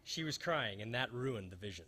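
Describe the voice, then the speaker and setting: A man's voice: mid-range voice, expressive, normal speed, a crowd in the background, lightly acted. A man playing a wisecracking, hapless fire investigator in a noir radio spoof, with wry, hard-boiled storytelling. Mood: wry narration. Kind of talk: old-time radio detective spoof, staged reading.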